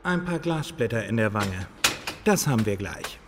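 An actor playing a businesslike paramedic, practical and directive, in a German radio crime drama. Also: male voice, lowish voice, very animated, moderate pace, big-room sound, heavy background noise. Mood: calm, businesslike. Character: businesslike male paramedic tending a patient.

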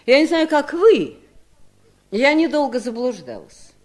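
An actress playing a scornful, cold-hearted, greedy wife in a 1970s radio drama, with a mocking, theatrical delivery. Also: female voice, high-pitched, big pitch swings, a little slow. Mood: mocking, reminiscing.

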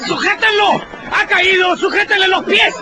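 An actor playing a frantic doctor in a radio drama, shouting desperately amid a noisy crowd. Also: male voice, very high voice, fairly even intonation, normal speed. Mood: frantic, triumphant. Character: frantic doctor fighting, then pleading for a man's life. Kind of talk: Spanish-language radio drama acting.

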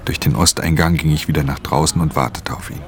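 A man playing a professional pickpocket who recounts his theft in measured, breathy, half-whispered radio drama narration. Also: extremely low pitch, steady tone, very noisy. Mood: controlled. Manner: measured, hushed storytelling. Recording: music under the voice.

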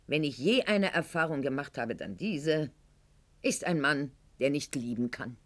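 An actress playing a proud, wounded middle-aged aristocratic lady in a radio play monologue, articulate and ironic, with weighted pauses. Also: mid-range voice, close to an everyday voice.

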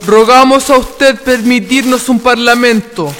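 Historical radio drama: male actor as urgent military messenger, high voice, little inflection, formal declamation. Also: steady tone, measured pace, fairly bright tone, faint music behind. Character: formal, urgent military envoy of the independence era.

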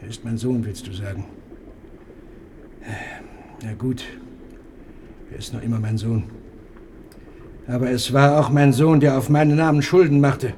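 A man's voice: medium pitch, steady tone, unhurried, pauses often, a little mellow, a little hiss or hum, lightly acted. An actor playing a bitter, stern yet resigned father and husband in a radio play, formal and ponderous.

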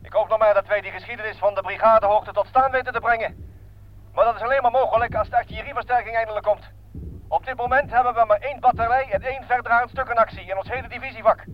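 An actor playing a harried, dutiful army lieutenant in a war radio play, clipped and formal. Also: male voice, extremely high pitch, steady tone, fast talker. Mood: urgent, grave. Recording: muffled old radio recording, faint noise.

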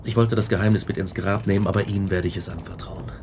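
A male actor portrays an exhausted, haunted man weighed down by guilt and despair. The voice is low, grave and anguished, in radio drama style. Clear ambient noise runs behind it. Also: steady tone, fast talker, close to an everyday voice.

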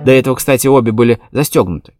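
A man narrating a children's animal story in a playful, lively way. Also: mid-range voice, rapid-fire, soft background music.

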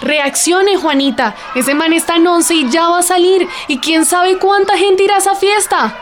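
Very high, mocking teenage girl played by a woman. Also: moderate pace, slight background noise. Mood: teasing. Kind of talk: amateur radio drama dialogue.

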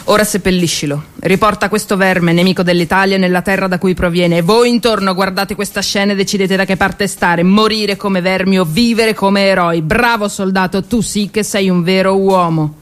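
A woman's voice: medium pitch, steady tone, moderate pace, hardly any pauses, natural voice. A woman playing a cold, menacing male Italian army general, commanding and scornful in a theatrical war monologue. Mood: sneering, controlled.

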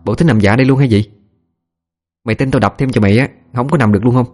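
A spoiled, spiteful young woman, performed by a man for an audio story. She sounds whiny and snappish in a Southern Vietnamese accent, pitched well above the reader's own voice, over faint music. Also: fast talker, darkish tone, natural voice. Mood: contemptuous.

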